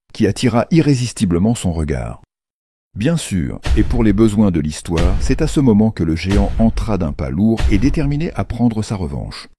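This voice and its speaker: A man's voice: fairly low pitch, dark tone, slightly rough, dry recording. A man narrating a children's fairy tale as a playful, theatrical storyteller. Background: music under the voice.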